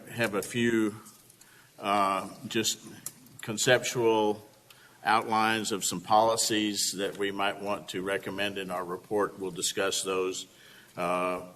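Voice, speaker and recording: A man chairing a public committee meeting in a relaxed, folksy way. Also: fairly low pitch. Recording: long reverb in a meeting room, faint hiss.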